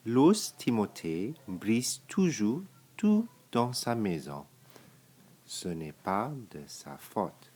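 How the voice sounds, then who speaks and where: A man with a very deep voice reads a picture book to children. He takes it very slowly, phrase by phrase, leaving deliberate pauses. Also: sing-song, dark tone. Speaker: storybook reader.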